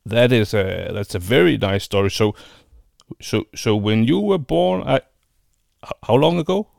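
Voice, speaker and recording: A man's voice: medium pitch, expressive, a little slow, creaky, darkish tone, no echo, clean studio sound. A man hosting a casual interview, feeling his way through questions with hesitant, repeated phrasing. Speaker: podcast host.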